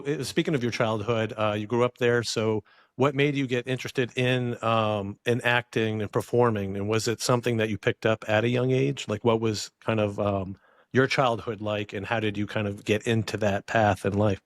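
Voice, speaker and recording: A man's voice: on the low side, fairly even intonation, moderate pace, no room sound, clean studio sound. A man from Maine hosting an interview, friendly and rambling with many ums and restarts. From Maine, USA. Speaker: podcast host. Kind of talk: casual interview.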